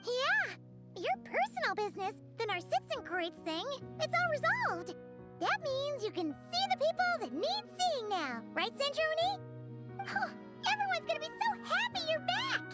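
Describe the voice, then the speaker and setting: A squeaky, childlike little game fairy chatters perkily. Her very high voice bounces around in pitch, with music playing behind. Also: wildly swooping pitch, unhurried, heavily acted. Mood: cheerful. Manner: squeaky, perky, inquisitive. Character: tiny, chirpy, childlike fairy companion, eager and chatty. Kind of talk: cartoonish video game voice acting.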